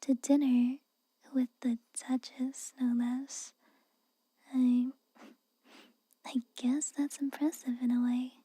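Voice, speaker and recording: Woman as a whispering, breathy tsundere maid. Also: high-pitched, level tone, takes it slowly, pauses often, dark tone, no echo, exaggerated voice. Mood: grudging, reflective. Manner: hesitant, reluctant, aloof and chiding. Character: tsundere young female castle maid, haughty, guarded, secretly caring. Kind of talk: whispered ASMR roleplay monologue.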